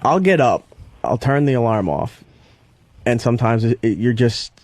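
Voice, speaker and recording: A man working on a radio show, chatting on air in a halting, defensive way. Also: mid-range voice, expressive, a little mellow. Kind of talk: casual on-air chat.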